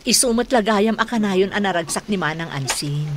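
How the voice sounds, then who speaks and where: A woman's voice: mid-range voice, animated, brisk, a little hiss or hum, close to an everyday voice. An actress with an Ilocano accent playing a deferential female household helper in a radio drama. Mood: sympathetic. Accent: Northern Philippine (Ilocano).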